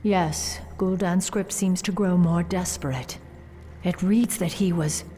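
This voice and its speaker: Loud music plays behind a stern night elf warden in a fantasy game. Her fairly low voice is grave and formal, and she speaks slowly. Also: fairly even intonation. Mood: somber, measured. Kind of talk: dramatic video game voice acting.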